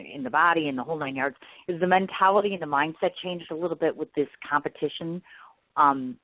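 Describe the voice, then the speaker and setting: Female host, fast and lively, gushing reactions; tinny dry line. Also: low-pitched, no room sound. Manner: effusive, exclamatory, quick reactions. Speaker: show host. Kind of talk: casual interview chat.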